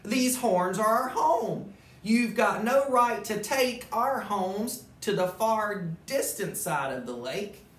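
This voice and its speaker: A storyteller voicing a small, bossy bug guest who shouts indignant demands, in a children's picture-book reading. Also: medium pitch, animated, slow, pitched above the performer's own voice. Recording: roomy echo, from far off, faint noise.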